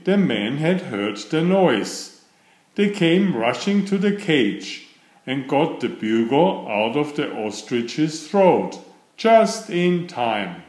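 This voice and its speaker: A man reading a children's book aloud as narrator, with expressive, deliberate phrasing. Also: medium pitch. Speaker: storybook reader. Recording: roomy, echoing sound.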